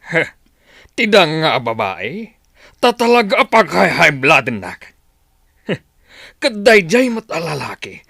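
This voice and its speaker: A man's voice: a little high, very animated, takes it slowly, vocal fry, long pauses, sharp tone, no echo, clean studio sound, heavily acted. A man with an Ilocano accent playing a hot-headed, blustering village father in a radio comedy drama. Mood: angry, scolding. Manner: blustering, grumbling.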